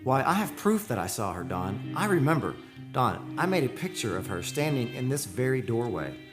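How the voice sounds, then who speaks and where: A man's voice: medium pitch, very animated, normal speed, close to an everyday voice. An actor playing an earnest, lovestruck man who narrates a haunted romance in poetic radio-play style. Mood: insistent. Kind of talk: radio play acting and dramatic first-person narration. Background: music.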